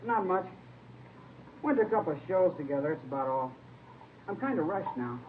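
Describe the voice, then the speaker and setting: A man's voice: on the high side, animated, fairly quick, lightly acted. A man playing a jumpy, evasive eighteen-year-old soda fountain boy in a vintage radio drama. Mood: nervous, evasive. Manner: jumpy, evasive, short answers. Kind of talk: 1950s radio drama acting. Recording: tinny, faintly noisy vintage radio recording.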